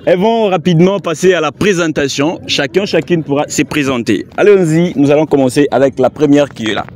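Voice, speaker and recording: A man's voice: fairly high pitch, fairly quick, darkish tone, slight background noise. A man working as a journalist, formally introducing guests and asking them questions. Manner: formal, addressing viewers and guests. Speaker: journalist, co-host.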